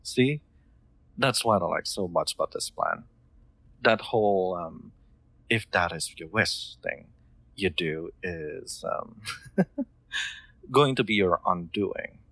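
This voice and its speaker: Man acting as kind soft-spoken master, low creaky voice, gentle formal phrasing, hesitant, intimate audio roleplay. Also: lively intonation, slow, long pauses, dry recording, exaggerated voice. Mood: tender, persuasive.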